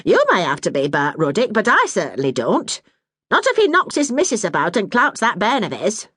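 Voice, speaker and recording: A woman voicing a middle-aged mother, bossy but warm-hearted, in a brisk, scolding dialect. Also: mid-range voice, huge pitch swings, slightly rough, no room sound, studio quality, voice pushed up, heavily acted.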